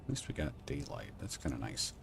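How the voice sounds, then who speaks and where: A man streaming a game from home, thinking aloud and answering chat in a soft, unhurried voice. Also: vocal fry, background noise. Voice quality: low, soft, relaxed voice. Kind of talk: casual live-stream gameplay chat.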